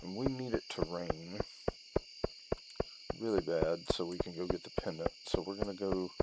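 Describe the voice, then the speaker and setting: A man giving casual commentary on a game he is playing, muttering and thinking aloud in short fragments over loud noise and clicks. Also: sits low, normal speed. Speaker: gaming video host.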